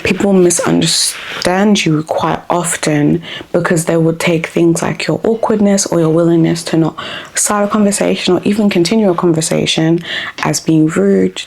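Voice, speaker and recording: A woman's voice: fairly low pitch, gravelly, darkish tone, a little hiss or hum, poor audio. A woman, born in Africa, talking through her thoughts in a reflective personal vlog with frequent ums and likes. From born in Africa, grew up in Australia. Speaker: vlogger.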